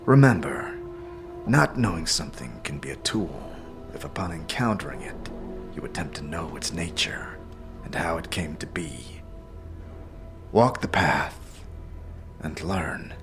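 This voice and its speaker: A man playing a solemn warrior-monk mentor, speaking in a grave, formal, measured way as in a fantasy audio drama. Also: very deep voice, animated, music in the background, heavily acted. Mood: grave, probing.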